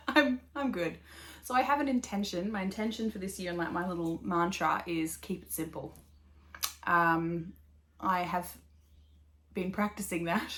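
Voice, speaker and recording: A woman's voice: medium pitch, fairly bright tone. A woman in her thirties with an Australian accent, hosting a casual knitting podcast, talking upbeat and rambling with lots of fillers and little laughs. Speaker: podcast host.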